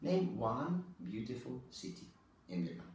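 A man's voice: mid-range voice, sing-song, unhurried, darkish tone, slight background noise. A man in his thirties, an English teacher, patiently asking test questions and prompting a child toward full answers. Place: small classroom, indoors. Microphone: far camera. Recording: echoey classroom from far off.

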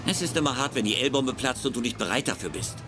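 A man acts a scheming, smug sitcom rival with a mid-pitched, expressive voice. He feigns sincerity while he taunts. Loud show sound plays behind him. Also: fast, over music, lightly acted. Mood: mock sympathy. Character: smooth, sly male rival, mock-sympathetic schemer. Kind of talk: dubbed sitcom acting.

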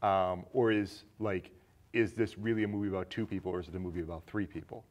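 A man in his thirties with a fairly low voice talks films as a co-host. His delivery is casual and questioning, building each thought as he goes. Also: steady tone, fast, a little mellow. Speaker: film discussion show co-host. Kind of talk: casual film discussion. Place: small indoor studio set with curtain.

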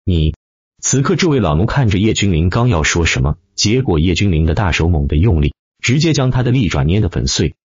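A man narrates a dramatic tale in a deep voice that moves up and down with animation. His tone is a little rough and husky. The sound is dry and close, a little muffled. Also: very animated, fairly quick, few pauses, no echo. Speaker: audiobook narrator. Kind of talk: dramatic scripted web-novel narration.

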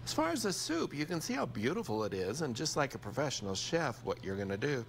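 A man's voice: medium pitch, very animated, fast, creaky. American TV chef, man in his sixties, noisy kitchen. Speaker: TV chef presenting a cooking segment. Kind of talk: cooking demonstration, conversational instruction. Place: indoor TV studio kitchen.

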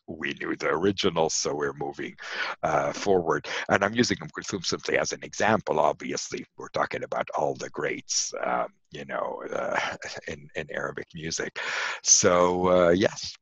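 Older Lebanese-born man, low husky voice, dry muffled call audio, thoughtful and rambling. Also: normal speed, no room sound. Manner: warm, reflective, with frequent fillers and restarts. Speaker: lecturer and radio host being interviewed. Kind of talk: casual conversational interview. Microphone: video call. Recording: dull lo-fi video call audio.

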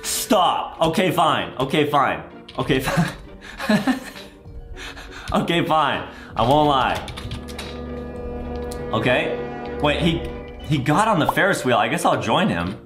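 A man, giving exaggerated, excitable gameplay commentary to viewers and often repeating his phrases. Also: on the high side, slow pace, pauses often, reverberant, music in the background. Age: 20s. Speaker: gaming video host.

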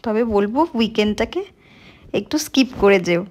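A woman's voice: medium pitch, normal speed, a little mellow. A young woman, narrating a casual food vlog and talking straight to her viewers. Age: young adult. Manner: chatty, talks straight to viewers. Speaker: vlogger. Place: busy cafe, then a car.

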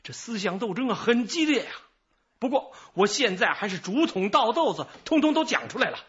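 An actor playing a chatty, evasive middle-aged informant in a radio drama, rambling, sighing and excusing himself. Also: male voice, high voice, sing-song, a little fast, slightly rough, muffled recording, full character voice. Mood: animated, confiding. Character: garrulous, evasive male small-time informant, self-excusing.

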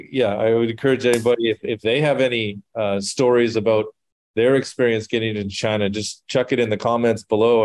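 A man hosts a podcast over a video call, easygoing and conversational. His voice sits on the low side and the sound is dry and close, with no room around it. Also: darkish tone, dry recording. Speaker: podcast host. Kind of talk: casual chat.

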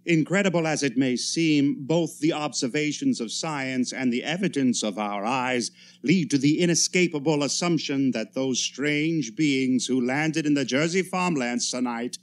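Grave, formal male radio announcer played by an actor, solemn, measured, mid-pitched, period radio play. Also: close to an everyday voice. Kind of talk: 1930s radio drama acting.

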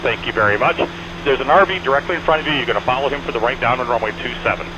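Fast-talking male controller on noisy aviation radio. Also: medium pitch, highs cut off. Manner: clipped, repetitive directives with courteous sign-offs. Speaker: air traffic controller at an airshow arrival. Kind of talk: rapid radio traffic instructions. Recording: band-limited aviation radio, aircraft engine noise. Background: small airplane engine drone.

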